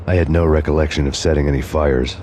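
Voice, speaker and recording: A man narrating in a deadpan, sardonic hard-boiled noir style. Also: very deep voice, quick pace, slight background noise. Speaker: game protagonist narrator. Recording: loud music under voice.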